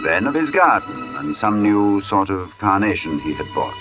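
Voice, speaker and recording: Uneasy male storyteller, wide dramatic swings, deliberate suspense, vintage radio drama, muffled sound. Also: fairly low pitch, big pitch swings. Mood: measured. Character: uneasy male artist narrating an uncanny tale. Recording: muffled old radio broadcast, music behind.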